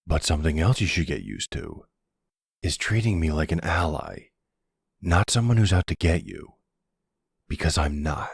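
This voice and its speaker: A deep, gravelly middle-aged crime boss, played by a male actor in an intimate roleplay drama. He speaks in a measured, fatherly way, firm yet protective. The sound is close and dry. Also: very animated, no echo, exaggerated voice. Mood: calm, firm. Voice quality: low gravelly husky. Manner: slow, measured, fatherly, streetwise. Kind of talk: ASMR roleplay audio drama.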